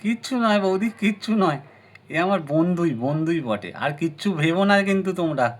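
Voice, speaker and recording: A man's voice: on the high side, lively intonation, fairly quick, close to an everyday voice. A man voicing an eager young village doctor, the visiting younger brother in a doll story. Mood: bashful.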